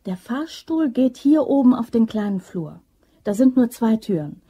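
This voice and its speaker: A woman's voice: fairly high pitch, sing-song, normal speed, dark tone, slightly rough. An actress playing a cool, bossy office woman who masterminds a robbery, clipped and businesslike, in a German crime radio play. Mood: cautious. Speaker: actor.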